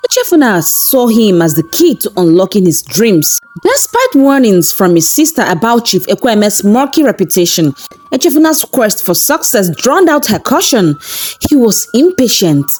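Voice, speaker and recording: Expressive woman storyteller, music behind. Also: on the high side, moderate pace. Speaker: storyteller, channel host. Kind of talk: scripted folktale narration. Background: background music.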